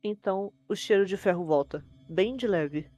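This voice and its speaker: A woman's voice: mid-range voice, moderate pace, warm tone, no echo. A woman with a Brazilian accent narrating a horror story in an informal storytelling style.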